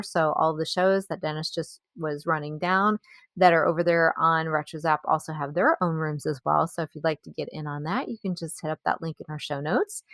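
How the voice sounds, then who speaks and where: A woman's voice: lowish voice, a bit bright, no room sound. A woman talking casually as the co-host of a podcast.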